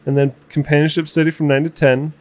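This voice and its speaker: A man's voice: a little high, flat delivery, fast, a little mellow. A man in his thirties, co-hosting a casual home podcast, telling a long story with many fillers and restarts. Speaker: podcast co-host. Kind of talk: casual chat. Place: home room.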